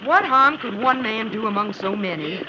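A woman's voice: fairly high pitch, expressive, normal speed, natural voice. A woman playing a kindly, trusting pioneer wife in an old radio western, polite and earnest. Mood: indignant. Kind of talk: 1940s-50s radio western acting. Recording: muffled noisy vintage radio recording.

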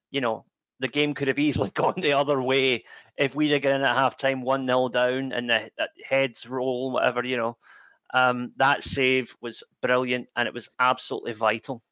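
A man co-hosting a casual football podcast, talking in a measured way with many ums. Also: mid-range voice, fairly bright tone, no echo, highs cut off. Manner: measured, filler-heavy with frequent ums. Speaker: podcast co-host.

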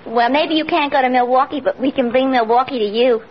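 An actress playing a wry, teasing tavern cashier with a dry, matter-of-fact manner in an old radio comedy. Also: female voice, high voice, fairly quick, lightly acted. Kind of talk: 1940s-50s radio comedy acting. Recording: muffled old radio, noise, faint audience.

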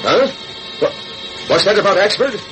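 An actor playing a gruff, bossy police sergeant who barks clipped orders, in 1940s radio drama style. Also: male voice, high-pitched, big pitch swings, normal speed, music in the background, poor audio. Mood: startled, urgent.

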